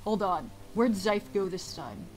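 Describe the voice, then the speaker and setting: Female actor as impatient fantasy cartoon assassin: mid-pitched, brusque, sardonic, with faint music behind. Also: lively intonation, unhurried, darkish tone, lightly acted, rough recording. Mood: dry. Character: blunt, impatient, suspicious assassin officer. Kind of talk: amateur animated fantasy series acting.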